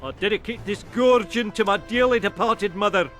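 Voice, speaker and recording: A dim, blustering middle-aged cartoon oaf. The voice sits very high for a man and is overexcitable, with music clearly behind it. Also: normal speed, fairly bright tone. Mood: earnest, oafish. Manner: oafish, blustering.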